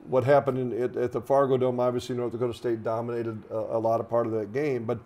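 An older man in a conversational, halting studio sports discussion, often repeating words as he makes his point. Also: mid-range voice, flat delivery, warm tone. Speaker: radio play-by-play commentator.

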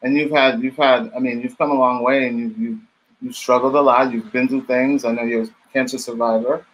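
A man in his thirties hosting a casual video-call interview in a halting way, often repeating words. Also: mid-range voice, steady tone, muffled recording. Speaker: interview host. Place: dim home studio room, video call.

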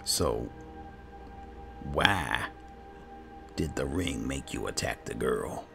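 Casting: male gamer, extremely deep and heavily creaky voice, swooping intonation, sardonic in-character roleplay commentary over a video game. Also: animated, very slow, a bit bright, soft background music.

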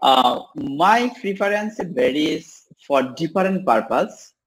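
Young man from Bangladesh, slow halting speech with fillers, faint room hum. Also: a little high, expressive, gravelly, rough recording. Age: young adult. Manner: hesitant, many fillers. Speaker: host practicing English. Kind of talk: English practice conversation. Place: small room indoors.

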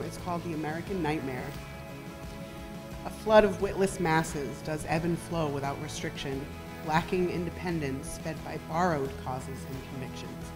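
Female poetry reader, thirties, lowish voice, at a podium mic, music audible underneath. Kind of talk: reading poems aloud at an event. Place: library meeting room, at podium. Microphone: podium gooseneck microphone.

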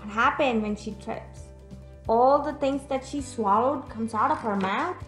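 A young woman reading a picture book aloud to young children in an expressive, teacherly way, pausing to put questions to them. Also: a little high. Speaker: children's storyteller. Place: room at home, indoors. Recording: echoey room, music in the background.

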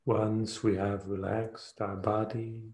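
A man guides a meditation in an even, very slow delivery. He speaks soothingly in short phrases, leaving long pauses between them. The sound is roomy, with a clear echo around his voice. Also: fairly low pitch. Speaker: meditation teacher.

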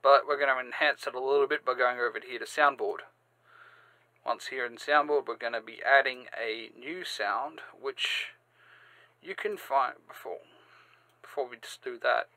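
A man hosting a step-by-step software tutorial, halting and sometimes repeating or correcting himself. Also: mid-range voice, dry recording. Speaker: tutorial host. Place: indoors, screen-recording voice-over.

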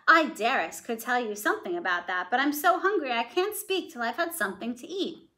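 Woman as a bold, cheeky storybook boy, fairly high. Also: lively intonation, fairly quick, sharp tone.

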